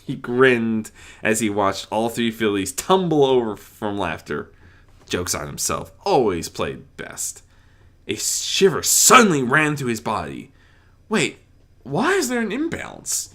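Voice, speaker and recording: A man's voice: on the low side, very animated, a little slow, fairly bright tone. A man reading a scripted story aloud as its narrator. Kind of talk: scripted fan-fiction narration.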